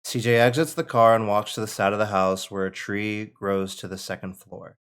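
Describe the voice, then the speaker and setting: A man with a fairly low voice narrates a screenplay at a table read. He reads out the scene headings and stage directions as scripted narration. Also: fairly even intonation, moderate pace, a bit bright, smooth.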